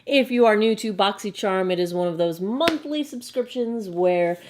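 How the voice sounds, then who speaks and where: A young woman with a hoarse, croaky voice, chatting casually as she unboxes beauty products to camera. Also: mid-range voice, a little mellow, slight background noise. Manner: chatty, bubbly, quick asides. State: hoarse, frog in throat. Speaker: beauty vlogger.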